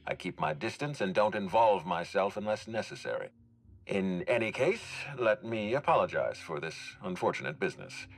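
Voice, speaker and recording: A deep-voiced, formal, guarded executive. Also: male voice, sing-song, gravelly, exaggerated voice. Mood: dry, courteous. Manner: measured, courteous, formal, dryly stern. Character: formal, guarded male executive overseeing hotel and orchards. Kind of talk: dramatic video game dialogue.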